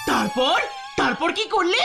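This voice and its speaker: A woman voicing an eager, curious young boy in a cartoon, excitable and full of questions. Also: extremely high pitch, unhurried, gravelly, full character voice. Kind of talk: cartoon acting. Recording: loud music under the voice.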